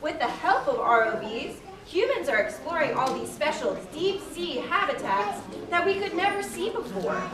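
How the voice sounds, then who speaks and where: A woman's voice: high-pitched, moderate pace, hardly any pauses, a crowd in the background. A woman performing a curious, bookish young explorer in a live children's puppet show, eager and wide-eyed. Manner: wide-eyed, animated questioning. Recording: echoey hall, distant mic, audience noise.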